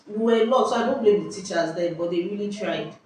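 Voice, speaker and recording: A young Nigerian woman telling school stories in casual chat at home, lively and exclamatory, often breaking off mid-sentence. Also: mid-range voice, quick pace. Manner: lively, exclamatory, self-interrupting. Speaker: vlogger. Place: indoors at home. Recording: echoey room, distant mic, faint hiss.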